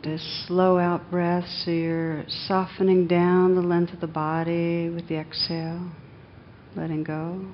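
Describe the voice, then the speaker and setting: A woman meditation teacher leading a guided meditation in a gentle, unhurried manner with long pauses, on a dull recording. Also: completely flat delivery. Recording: dull, muffled sound with faint rumbling noise.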